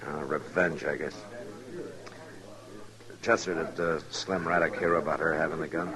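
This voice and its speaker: Very deep-voiced, steady western marshal. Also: male voice, poor audio. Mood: grim, wary. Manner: measured, calm, authoritative. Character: steady, laconic frontier town marshal. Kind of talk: old-time radio western acting. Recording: old radio drama, crowd behind.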